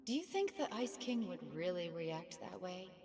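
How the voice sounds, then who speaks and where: A woman playing a gentle, affectionate mother in a video game, speaking with patient encouragement. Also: on the low side, sing-song, normal speed. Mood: patient, encouraging. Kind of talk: game cutscene voice acting. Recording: long reverb.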